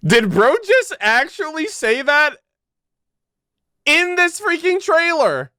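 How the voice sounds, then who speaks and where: A man giving casual live reaction commentary as a game streamer, talking to his chat in an excitable, slangy way. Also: very high-pitched, takes it slowly, no room sound, studio quality. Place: close, dry indoor streaming setup.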